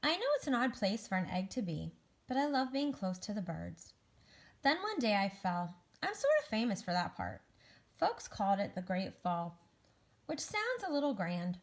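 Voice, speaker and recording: A woman's voice: mid-range voice, sing-song, moderate pace. A woman voicing an anxious, wistful egg who narrates its own story earnestly in a children's picture book read-aloud. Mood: wistful, modest. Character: anxious, wistful egg recovering from a great fall.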